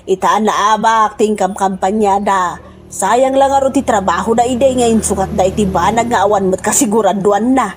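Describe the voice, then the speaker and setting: A woman's voice: medium pitch, fairly even intonation, moderate pace, natural voice. A woman playing a gossiping, disapproving village woman in a comedy radio drama. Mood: amused. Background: background music.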